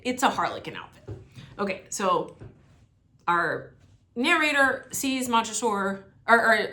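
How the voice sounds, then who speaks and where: A woman teacher explaining a story in a casual, animated, colloquial way full of slang. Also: medium pitch. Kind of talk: casual lesson explaining a story read aloud.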